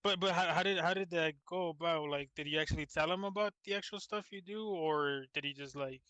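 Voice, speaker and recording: A man with a forceful, bright voice, pitched a little high, chats casually as a podcast co-host. He is sarcastic and teasing and swears freely, and the sound is dry and close. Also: a little fast, smooth, no room sound. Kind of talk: casual bantering group chat.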